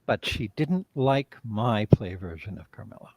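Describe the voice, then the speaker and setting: A man's voice: fairly low pitch, lively intonation, unhurried, a little mellow. A man, a writer and director, speaking reflectively in a live-stream interview and often trailing off mid-thought.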